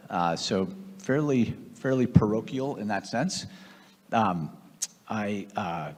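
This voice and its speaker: A man in his fifties from Boston with an American accent, telling his own story as an interview guest in a measured, reflective way. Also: fairly low pitch, slightly rough. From Boston area, United States. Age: 50s. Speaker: guest, investor. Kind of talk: conversational personal storytelling on stage. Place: large event room at a gathering. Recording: echoey hall with long reverb.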